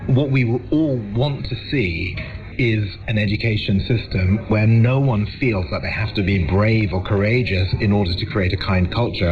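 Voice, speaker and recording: A middle-aged man speaking as an expert guest in a radio interview, articulate, measured and explanatory. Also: lowish voice. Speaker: academic psychologist and expert guest. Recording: dull lo-fi radio recording.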